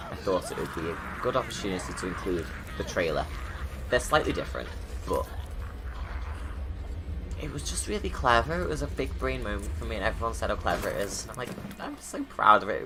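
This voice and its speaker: A man's voice: lowish voice, very animated, brisk, noisy background. A man giving chatty, informal creator commentary over a film. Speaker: video creator. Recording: background film sound under commentary. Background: game movie soundtrack and ambience.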